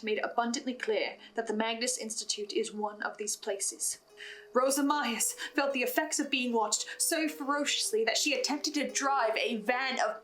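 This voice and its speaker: High-voiced woman in a theatrical monologue, over music. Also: fairly bright tone, poor audio. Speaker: host of a listener's video log. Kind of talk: dramatic scripted monologue, in-character video essay. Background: music behind the voice.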